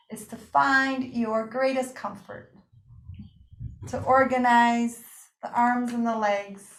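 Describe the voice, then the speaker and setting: A woman teaching breathing and yoga nidra, guiding a relaxation practice with gentle, invitational phrasing and pauses. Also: a little high. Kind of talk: guided meditation and relaxation instruction. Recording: roomy, echoing room sound.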